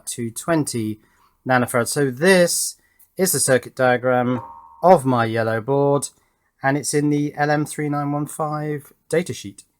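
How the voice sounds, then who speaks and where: A man narrating hands-on work casually, thinking aloud with pauses and murmured asides as he goes. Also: medium pitch. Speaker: host of an electronics project channel.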